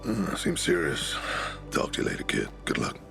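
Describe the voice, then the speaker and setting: An actor playing a gruff, commanding older veteran leader in a video game cutscene, blunt and gravelly. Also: male voice, very deep voice, very animated, moderate pace, music in the background, exaggerated voice. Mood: easygoing.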